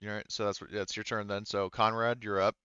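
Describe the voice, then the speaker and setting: A man with a smooth, low voice runs a tabletop game. He explains the options casually, qualifying as he goes. The recording is dry and slightly muffled. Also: level tone, fairly quick, sharp tone, no room sound. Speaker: game master.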